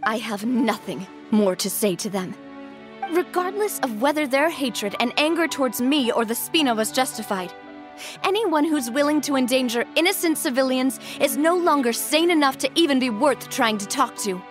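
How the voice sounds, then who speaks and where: A woman's voice: sits high, expressive, normal speed, faint music behind. A woman playing a young lady boss in a video game, poised, earnest and resolute. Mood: firm, resolute.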